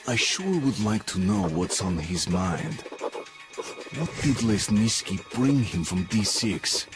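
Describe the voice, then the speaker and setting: An actor playing a spy who muses dryly in an inner monologue about his mission. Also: lowish voice. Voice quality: dry, musing. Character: wry male spy narrating his mission. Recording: game cutscene with loud music.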